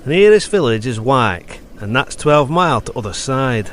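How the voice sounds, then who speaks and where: An actor playing a gruff, grudging country man with a northern English accent in a period audio drama, terse and full of dialect. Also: medium pitch, very animated, normal speed, fairly bright tone, slight background noise. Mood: grudging, dour. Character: dour, gruff rustic moorland man with a lantern.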